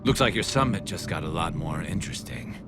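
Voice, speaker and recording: A world-weary male alien soldier, fairly low in pitch and drily sardonic. He sounds like a loyal comrade in a sci-fi game, with loud ambience around him. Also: animated, brisk, very noisy. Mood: loyal, dry. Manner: dry, sardonic. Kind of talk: video game voice acting.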